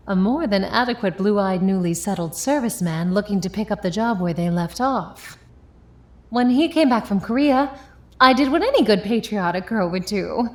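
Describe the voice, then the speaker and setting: An actress playing an ambitious, hard-boiled young woman of the 1950s in a vintage audio drama, speaking deliberately and defiantly. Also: medium pitch, lightly acted. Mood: wry, defiant.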